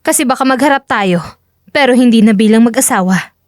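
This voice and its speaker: Woman playing a cool, high-voiced agent. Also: brisk, no room sound, clean studio sound, natural voice. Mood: stern, final. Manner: firm, curt, dismissive. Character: cool, firm female undercover agent. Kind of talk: dramatic audio story acting.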